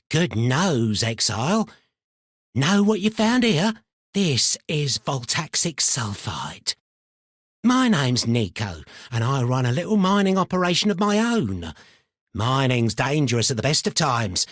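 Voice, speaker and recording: An eccentric miner from a fantasy video game, chatty and a little unhinged. His pitch swoops about freely as he rambles and mutters in colloquial phrases, sliding between chummy and suspicious. The recording is close and dry. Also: a bit bright, no room sound. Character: eccentric, paranoid, chatty mad miner, adult man. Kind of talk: video game character voice lines.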